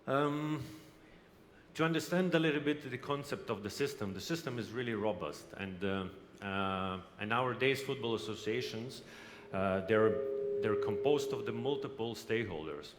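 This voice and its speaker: A man from Lithuania gives a conference presentation, speaking haltingly with frequent hesitations and restarts. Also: on the low side, animated. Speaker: company representative presenting. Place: exhibition hall. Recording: reverberant hall, faint background noise.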